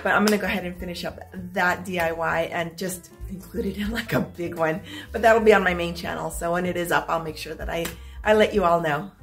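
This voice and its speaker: A middle-aged woman filming a casual unboxing vlog at home, upbeat and chatty, her voice slightly hoarse as if she is losing it. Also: on the low side, fairly even intonation, brisk, faint music behind. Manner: chatty and upbeat, clears her throat now and then. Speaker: vlogger. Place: small home room with stacked boxes, indoors.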